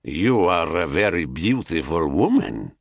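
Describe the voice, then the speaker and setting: A man voicing a forceful, lecherous rich stranger in an audiobook myth, smooth, insistent and full of flattery. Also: sits low, unhurried, no echo, clean studio sound, voice pushed down, heavily acted. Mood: seductive, pressing.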